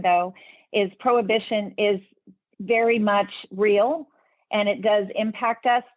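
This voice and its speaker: A woman's voice: mid-range voice, no room sound. Woman on a tinny remote line. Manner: earnest, explanatory, with many restarts. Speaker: podcast guest, leadership speaker. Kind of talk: interview answers.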